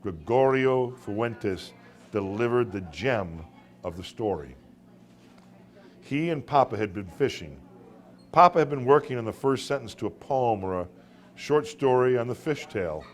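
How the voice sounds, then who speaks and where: A man reading his own short story to a live room as a dramatic storyteller, with deliberate pauses and occasional stumbles. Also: medium pitch, expressive. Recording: live room recording with background noise.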